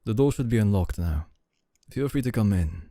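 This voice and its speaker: A man playing a suave, calm, quietly domineering young CEO in an ASMR audio roleplay, speaking softly with deliberate, formal courtesy. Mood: calm, authoritative. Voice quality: dark, low, soft voice. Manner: slow, deliberate, smooth formal courtesy, soft chuckles. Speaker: voice actor.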